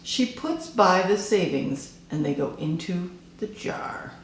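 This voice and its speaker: A woman reading a children's picture book aloud in a deliberate, expressive storytelling style. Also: lowish voice, echoey room, slight background noise. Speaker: storybook reader.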